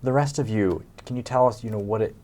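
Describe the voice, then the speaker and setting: A man's voice: fairly low pitch, fast, a little mellow. A man co-hosting a studio interview, making observations and putting questions to guests. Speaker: co-host interviewer.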